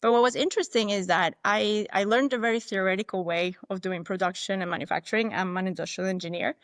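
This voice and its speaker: A woman's voice: mid-range voice, steady tone, quick pace, no room sound, studio quality. A woman whose first language is not English, a guest telling her story in a candid, thoughtful way, often restarting and quoting herself. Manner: candid, thoughtful, frequent restarts and self-quotes. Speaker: interview guest. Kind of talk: reflective conversational storytelling.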